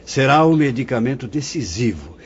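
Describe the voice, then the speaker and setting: Man as solemn spirit mentor, husky and gentle, muffled lo-fi audio drama. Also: medium pitch, normal speed. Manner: calm, solemn, instructive. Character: venerable male spirit director, gentle and paternal. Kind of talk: radio drama acting. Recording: dull lo-fi audio.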